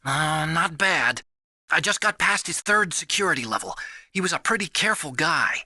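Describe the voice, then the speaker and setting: High, bright, nerdy hacker. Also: male voice, lively intonation, moderate pace, no echo, studio quality, exaggerated voice. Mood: eager, proud. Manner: eager, explanatory. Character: nerdy, excitable male computer hacker, earnest and boastful. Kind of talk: dramatic video game voice acting.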